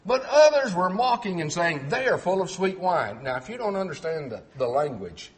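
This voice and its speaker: A man's voice: high voice, big pitch swings, moderate pace. A middle-aged man from Texas with a faint Texan accent, preaching a sermon and reading scripture aloud in a clipped, carefully enunciated way. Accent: Texan, trained toward clipped neutral speech. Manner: clipped, clearly enunciated delivery, reads verses aloud with emphasis.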